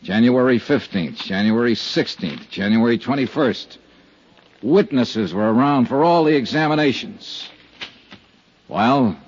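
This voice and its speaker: An actor playing a steady, hard-boiled insurance investigator in a 1950s radio drama, clipped and matter-of-fact, with an American accent. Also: male voice, medium pitch, measured pace, slight background noise, lightly acted. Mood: firm, pressing. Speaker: narrator and lead actor. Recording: dull 1950s radio recording, music behind.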